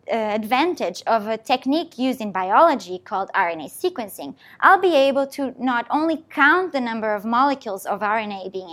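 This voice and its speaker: A woman scientist from Portugal, explaining her research step by step in a presentation talk. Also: high-pitched. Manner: explanatory, walking through an experiment step by step.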